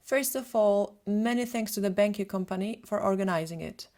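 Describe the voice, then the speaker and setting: Webinar presenter: woman, native Polish speaker, Polish accent, mid-pitched voice, prepared instructional delivery. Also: normal speed, darkish tone. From Poland. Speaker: photographer and retoucher hosting a webinar.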